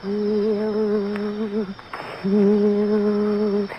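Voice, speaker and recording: An actress voicing a ghostly female spirit with a flat, droning chant in an old radio drama. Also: medium pitch, drawn-out words. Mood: menacing. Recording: dull old radio recording with hiss.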